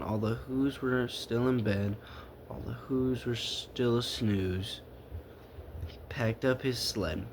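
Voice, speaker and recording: Slow, halting male storyteller, faint noise. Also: mid-range voice. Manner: halting, stumbling over the text. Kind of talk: read-aloud children's story narration.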